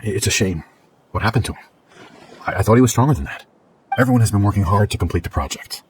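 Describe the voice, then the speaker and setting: A man's voice: fairly low pitch, expressive, quick pace, a little hiss or hum, natural voice. A man playing a callous young male student in a video game, defensive and blaming.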